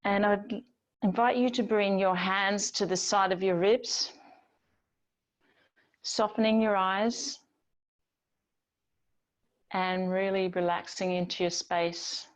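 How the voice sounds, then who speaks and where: A woman teaching yoga, guiding relaxation in a gentle, soothing, unhurried manner with long pauses between phrases. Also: medium pitch, fairly even intonation. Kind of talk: guided yoga and relaxation instruction.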